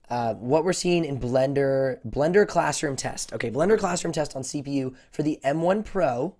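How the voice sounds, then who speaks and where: A man with a voice hoarse from a cold, answering viewer questions on a casual livestream, rambling with lots of fillers. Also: fairly high pitch, darkish tone. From United States. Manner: rambling, many fillers and restarts. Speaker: tech YouTuber hosting a livestream Q&A.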